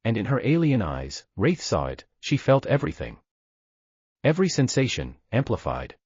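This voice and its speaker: Man, husky voice, grave storytelling, dry muffled recording. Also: medium pitch, huge pitch swings, normal speed, darkish tone, dry recording. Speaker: narrator. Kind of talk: dramatic audiobook narration.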